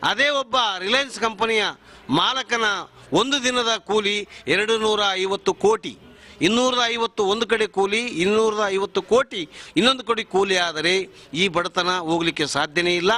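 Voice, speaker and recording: A man's voice: high-pitched, moderate pace, sharp tone. A middle-aged man making an impassioned political speech, emphatic and given to rhetorical repetition. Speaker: member of the public giving views.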